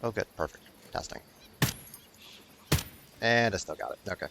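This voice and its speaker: A man's voice: fairly low pitch, moderate pace, creaky, very noisy. A man hosting a gaming video with casual, rambling, mildly profane unscripted commentary.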